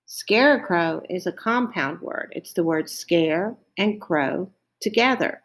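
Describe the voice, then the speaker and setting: A woman's voice: lowish voice, animated, slow. A woman reading a children's story aloud, careful and explanatory, with teacherly asides.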